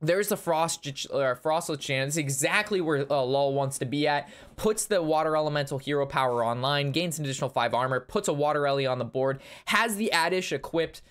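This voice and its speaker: A young man casting and reviewing a card game match, excitable and analytical, his voice strained from talking a long time. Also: fairly high pitch, fairly quick, hardly any pauses, clear, resonant tone. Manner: excitable, analytical, talks through plays. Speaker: Hearthstone caster reviewing a match. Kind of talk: casual game commentary.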